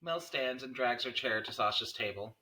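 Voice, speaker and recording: A man's voice: medium pitch, normal speed, bright, forceful tone. A man narrating the stage directions of a screenplay at a table read.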